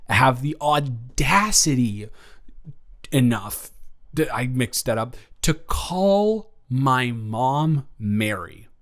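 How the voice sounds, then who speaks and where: A man's voice: medium pitch, close to an everyday voice. Stammering, teasing young guy best friend played by a man. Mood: mock indignant. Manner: stammering, joking, rambling, mock-indignant. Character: teasing, flustered young male best friend on a road trip. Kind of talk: improvised audio roleplay, casual one-sided conversation.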